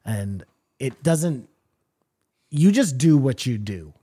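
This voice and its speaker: Podcast co-host, male, dark mellow voice, loose speculative rambler. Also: medium pitch, big pitch swings, takes it slowly, slightly rough. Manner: rambling, speculative, swears freely. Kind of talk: casual chat.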